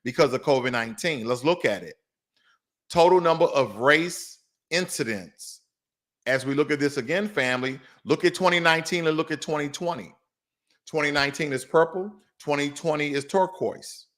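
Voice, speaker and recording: A man's voice: a little high, normal speed, a bit bright. An American man hosting a news commentary show, talking viewers through charts in a didactic, emphatic way and often checking that they follow.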